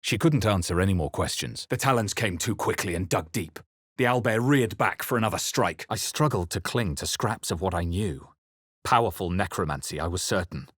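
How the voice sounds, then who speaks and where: A man voicing a wary, brooding adventurer hero in a fantasy video game, narrating in the first person with dramatic, measured intensity. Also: low-pitched, expressive, fairly bright tone, slightly rough, no room sound, clean studio sound, heavily acted. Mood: tense, brooding. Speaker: video game player character voice.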